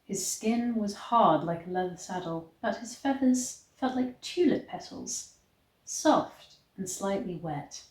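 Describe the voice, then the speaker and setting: A woman reading a romance story aloud in a dramatic, expressive way, stretching words for emphasis. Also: mid-range voice, slow, slight background noise. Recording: echoey, roomy sound.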